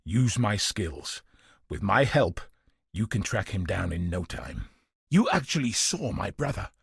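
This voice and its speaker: An actor playing a weary, bitter but steadfast guard commander in a video game, speaking gravely and earnestly with a husky, raspy voice. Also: male voice, low-pitched, very animated, unhurried, dry recording, clean studio sound, exaggerated voice. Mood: determined, hopeful. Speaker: game character voice. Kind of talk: dramatic video game voice acting.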